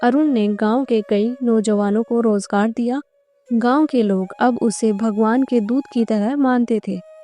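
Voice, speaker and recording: Female narrator for cartoon fables: warm dark tone, slightly high register, scripted storytelling, faint music bed. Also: a little slow.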